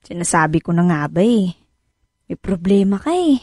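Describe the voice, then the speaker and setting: Caring wife in radio drama. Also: female voice, on the low side, big pitch swings, moderate pace, a little mellow, no room sound, studio quality. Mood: earnest. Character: caring, inquisitive Filipino wife and mother in her thirties. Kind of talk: naturalistic radio drama dialogue. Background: music bed.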